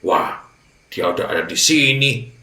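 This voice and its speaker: A man's voice: deep voice, animated, measured pace, sharp tone, roomy sound, distant mic, heavily acted. A man voicing a hungry, gullible, angry tiger in a children's fable, blustering and threatening. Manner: blustering, threatening, easily fooled.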